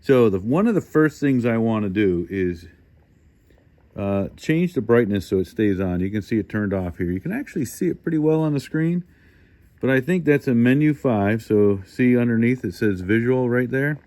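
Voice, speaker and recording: A man's voice: mid-range voice, moderate pace, warm tone. A man hosting a casual hands-on product walkthrough, talking informally and thinking aloud as he goes. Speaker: host of a hobby radio review channel.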